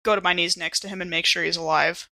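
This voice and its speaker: Brief: female tabletop RPG player, casual and in-character by turns, bright tone, dry close sound. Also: lowish voice, steady tone, quick pace, dry recording. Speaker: role-playing game player. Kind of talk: casual in-game chat and role-play.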